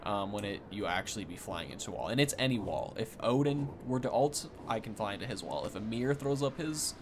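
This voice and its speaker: A man's voice: lowish voice, lively intonation, fairly quick, background noise. A man giving casual, off-the-cuff game commentary in an informal way, thinking aloud as he goes. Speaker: gaming content creator giving a first look.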